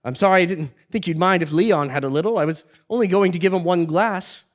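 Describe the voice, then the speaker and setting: A man's voice: high-pitched, brisk, lightly acted. A man playing a foolish, cheerful king with pompous, theatrical delivery in a comedic stage play. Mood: concerned. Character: foolish, cheerful male king, whimsical and oblivious. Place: theatre stage before live audience. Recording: live theatre stage recording.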